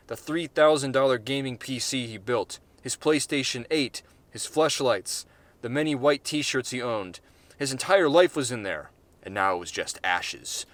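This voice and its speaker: A man's voice: medium pitch, a bit bright, no room sound. A man reading his own short story aloud, casually, with swearing and self-corrections.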